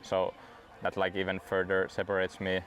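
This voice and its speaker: A young man whose first language is Swedish, chatting in a relaxed interview and padding his sentences with "like". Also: low voice. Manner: relaxed, fills every pause with "like". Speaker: guest disc golf player. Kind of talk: casual interview chat.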